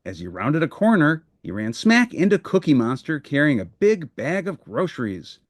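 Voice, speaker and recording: Kids book narrator, mid pitch, really animated reading, dry close sound. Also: moderate pace, no echo. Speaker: storybook narrator. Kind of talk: scripted children's read-aloud narration.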